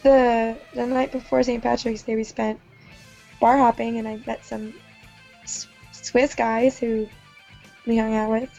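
Woman, young adult, guest host, hesitant, slightly high, vocal fry. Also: flat delivery, a little slow, a little mellow, highs cut off, soft background music. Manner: hesitant, laughs things off. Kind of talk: casual chat.

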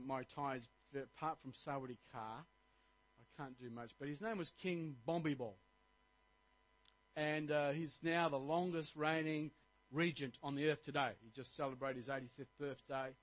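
A man's voice: fairly high pitch, a bit bright, rough recording. A middle-aged man, preaching a sermon as pastor, rising to emphatic points. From Australia.

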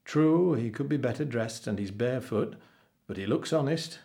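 A man voicing an invisible sea kobold from a storybook, calm and firm, with a measured delivery. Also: mid-range voice, gravelly. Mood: calm, approving.

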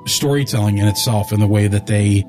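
A man's voice: fairly low pitch, brisk, darkish tone. A man co-hosting a podcast, talking in a casual, reflective way. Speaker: podcast co-host and writer. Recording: music playing behind the voice. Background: music.

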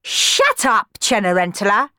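A woman voicing two shrill, spoiled young stepsisters who boss their sister about in a storybook reading. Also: huge pitch swings, slow, no echo, studio quality, heavily acted. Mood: scornful.

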